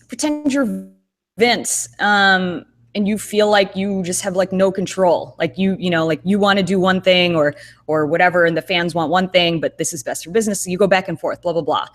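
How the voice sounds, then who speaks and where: Low-voiced woman chatting with fillers. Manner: thoughtful, rambling with many fillers and restarts. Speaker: co-host. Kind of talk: casual podcast chat. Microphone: video call.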